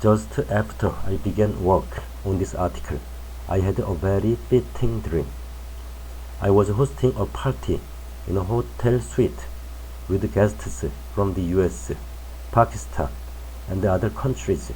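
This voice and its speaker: Male narrator reading a feature article, low mellow voice, unhurried and careful delivery. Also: a little hiss or hum, rough recording. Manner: slow, careful, measured reading. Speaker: English news reader.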